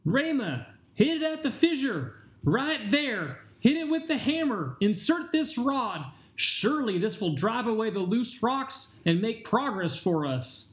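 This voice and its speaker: An excited ancient miner played by a man with a high, very lively voice, urging his partner on dramatically. The amateur radio-play recording is roomy and a bit muffled. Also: unhurried, full character voice. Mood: excited, urgent. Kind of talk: amateur audio drama acting. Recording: dull, roomy home recording.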